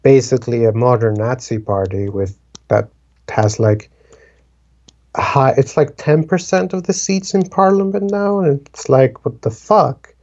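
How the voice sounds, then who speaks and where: A man in his twenties from the Dominican Republic talks in a slow, unhurried way, with a soft, mellow tone. His casual chat wanders, full of "like" and "um". The sound is dull and lo-fi. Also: mid-range voice. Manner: rambling, many fillers like "like" and "um". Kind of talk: casual conversation.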